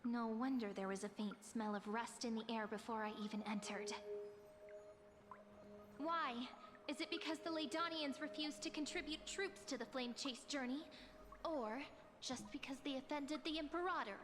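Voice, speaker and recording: A high, clear woman's voice from an actress plays a composed young companion in a game, asking calm, measured questions. There is a long reverb tail on her lines, and game music plays behind. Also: close to an everyday voice. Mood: probing. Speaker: game character. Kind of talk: video game voice acting.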